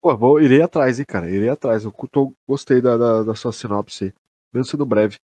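A young Brazilian man with a medium-pitched voice chats as a podcast co-host in a very dry sound. He speaks casually, with slang and swearing, doubling back on his phrases as he goes. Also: normal speed, dark tone, breathy edge, no echo. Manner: slangy, sweary, repeats himself. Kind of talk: casual chat.

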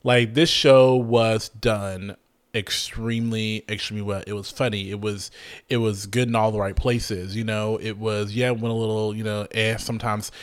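A man co-hosting a casual podcast, reviewing a TV comedy with animated enthusiasm and lots of restarts. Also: on the low side, moderate pace, smooth. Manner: animated, gushing, frequent restarts and swearing. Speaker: podcast co-host. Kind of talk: casual chat reviewing a TV show.

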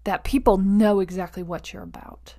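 A woman hosting a motivational monologue, speaking directly to the listener with emphatic phrasing, deliberate pauses, repetition and rhetorical check-ins. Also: medium pitch, fairly even intonation, warm tone. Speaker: podcast host.

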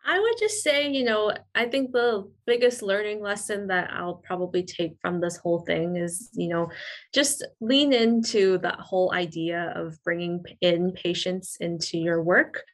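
A woman co-hosting a podcast, talking in a relaxed, thoughtful way with frequent ums and you-knows. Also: on the low side, unhurried. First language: English. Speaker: podcast co-host. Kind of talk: casual interview chat.

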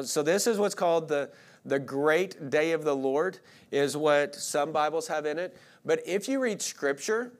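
Male pastor, late 30s, slightly high voice, plainspoken and informal sermon delivery. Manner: informal, self-deprecating, rhetorical questions to listeners. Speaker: pastor preaching. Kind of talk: expository sermon, conversational.

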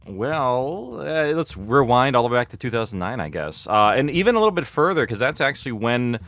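A man's voice: mid-range voice, sing-song, a little fast, fairly bright tone, dry recording. A man speaking as an interview guest, chatting casually and explaining things through anecdotes. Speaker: interview guest, audio fiction producer.

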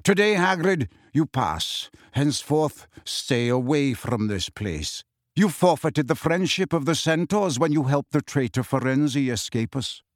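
A man voicing a proud, commanding male centaur in an audiobook, speaking formally in a measured, stately way. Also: fairly high pitch, animated, dry recording, studio quality. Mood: calm, grave.

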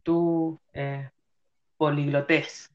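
A young man with a Chilean Spanish accent leads a playful mock interview. His voice is fairly high, and he rambles and hunts for words. The recording sounds dull and muffled. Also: little pitch movement, very slow. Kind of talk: casual role-played interview.

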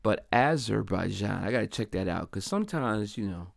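Gravelly upbeat man hosting a podcast. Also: fairly low pitch, expressive, normal speed, no echo, clean studio sound. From Delaware. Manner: upbeat, chatty, slangy shout-outs. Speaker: podcast host. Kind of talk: casual solo podcast monologue.

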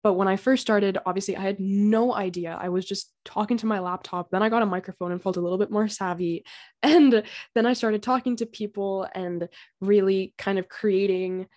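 A young woman hosting her own podcast, talking casually and earnestly, her throat slightly raspy from being sick. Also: mid-range voice, fairly even intonation, normal speed, a little mellow. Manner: chatty and earnest, with fillers and small laughs. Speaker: podcast host. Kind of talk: casual solo podcast talk. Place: home.

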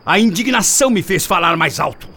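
An actor playing a scheming, indignant middle-aged husband pompously justifying himself in a radio drama. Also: male voice, sits high, lively intonation, a little fast, sharp tone, breathy edge, heavily acted.

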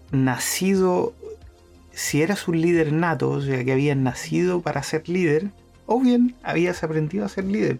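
A man's voice: fairly high pitch, vocal fry, darkish tone. A man hosting a podcast, talking through his thoughts in a casual monologue, hesitant, with many fillers and restarts. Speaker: podcast host.

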